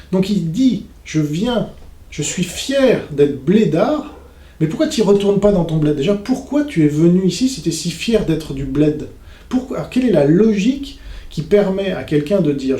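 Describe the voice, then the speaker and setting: A man's voice: fairly high pitch, dark tone. A man giving off-the-cuff polemical commentary in a sarcastic, scornful manner, mimicking the people he criticises. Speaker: commentator reacting to a conference video. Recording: roomy, echoing room sound.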